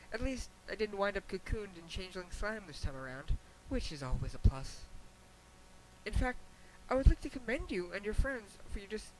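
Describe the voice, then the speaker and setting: A man voicing a regal, patient pony princess who gently admonishes her student in a formal, wry, measured way, reading a fan fiction letter. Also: high voice, low-quality recording. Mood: wry, composed. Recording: hissy amateur home recording.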